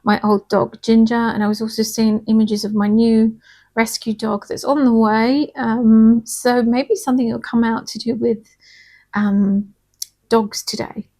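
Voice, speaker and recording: A woman giving a casual tarot and angel card reading, her voice slightly hoarse, talking in a soft, chatty, reassuring way and often checking in with "okay?". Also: a little high, flat delivery, measured pace.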